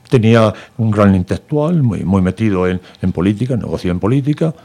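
Older man, 70s, from Madrid; lowish voice, interview guest, halting speech. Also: lively intonation, creaky, dark tone. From Madrid, Spain. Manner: hesitant, many fillers and repeated words. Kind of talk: conversational testimony.

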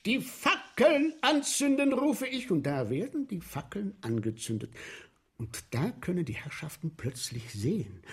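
A man's voice: fairly high pitch, husky, exaggerated voice. An actor playing a boastful, eager sewer inspector in a radio play, telling of his show like a theatrical, relishing storyteller. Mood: showmanlike. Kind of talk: 1970s German radio play acting.

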